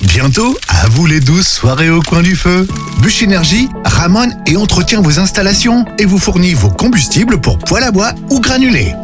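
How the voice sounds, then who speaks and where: A man's voice: sits high, sing-song, moderate pace, music in the background. A man reading a radio ad in a friendly, conversational way.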